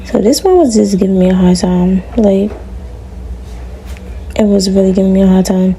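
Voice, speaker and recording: A young woman with a raspy voice chatting casually to viewers as she styles her hair, relaxed and full of slang, in a small room. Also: mid-range voice, normal speed, a little hiss or hum. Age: young adult. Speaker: beauty vlogger. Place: small room before a green screen.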